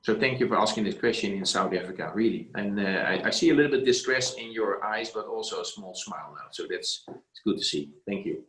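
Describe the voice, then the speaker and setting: A man's voice: lowish voice, expressive, brisk, hardly any pauses, fairly bright tone. Middle-aged man coaching, roomy sound. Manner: emphatic, repeats words, says "eh". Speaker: career coach. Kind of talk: casual advice on a video call. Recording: roomy echo.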